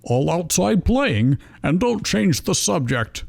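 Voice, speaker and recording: A man voicing a calm, caring but stern frog ninja creature, scolding yet gentle, in a fan fiction reading. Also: high-pitched, slow pace, creaky, no echo, pitched above the performer's own voice, full character voice. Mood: scolding, concerned.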